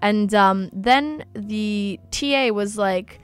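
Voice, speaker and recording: A young woman whose first language is Polish, hosting a casual college radio talk show with a bubbly, chatty and animated way of telling stories. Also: medium pitch, takes it slowly, smooth, soft background music. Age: young adult. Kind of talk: casual chat.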